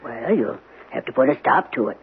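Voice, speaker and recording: An actress playing a bossy, plain-spoken housekeeper in a radio drama, with a folksy, sarcastic, no-nonsense manner. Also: female voice, rumbling low, lively intonation, normal speed, creaky, exaggerated voice. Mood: firm. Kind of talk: 1970s radio drama acting. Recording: tinny, noisy old radio broadcast.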